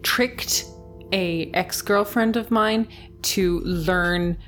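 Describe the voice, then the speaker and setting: A woman with a fairly low voice chatting on a podcast, animated and giggly as she tells stories. Faint music sits behind her. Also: drawn-out words. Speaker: podcast co-host. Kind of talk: casual chat.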